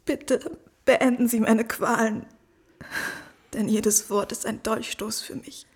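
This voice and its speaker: A very high female voice plays a betrayed young wife in a radio drama. She is distraught and halting, repeating herself in anguish as she pleads. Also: fairly even intonation, husky. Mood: bitter, tearful.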